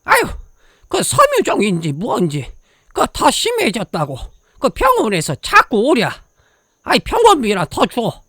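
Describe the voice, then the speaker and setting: A woman's voice: on the high side, wildly swooping pitch, unhurried, a bit bright, no echo, clean studio sound, exaggerated voice. A woman playing a cranky, foul-mouthed, resentful old grandmother in an audio drama, grumbling in a with exasperated sighs. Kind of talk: Korean audio drama acting.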